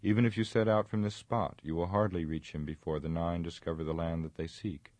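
A man voicing a hurried, earnest wizard bringing a message in a dramatic fantasy audiobook, urgent and emphatic. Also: deep voice, steady tone, darkish tone, no room sound, close to an everyday voice.

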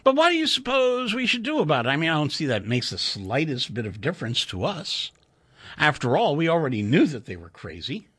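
A man's voice: on the high side, huge pitch swings, fairly quick, a bit bright, husky, full character voice. A man voicing a weary, sardonic male computer engineer who speaks in a dry, matter-of-fact way. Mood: dismissive.

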